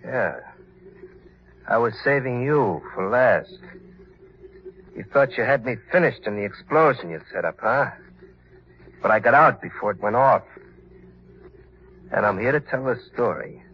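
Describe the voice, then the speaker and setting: A man's voice: mid-range voice, expressive, husky. An actor playing a vengeful, unhinged killer in an old radio crime drama, taunting and gloating over his victim. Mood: menacing, taunting. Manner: taunting, drawn-out gloating with maniacal laughter. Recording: dull lo-fi old radio, background hiss.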